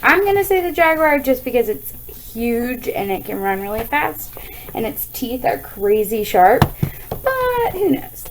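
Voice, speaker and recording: A woman's voice: a little high, very animated, measured pace, background noise. A woman with an American accent, a school teacher reading aloud to children and chatting through explanations, often checking in with "right?".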